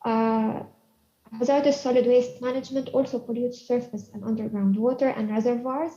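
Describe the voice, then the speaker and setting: A young woman with a Lebanese accent giving a prepared pitch as a startup co-founder over a video call. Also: steady tone, normal speed, dark tone. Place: online conference call. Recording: dull, roomy video-call audio.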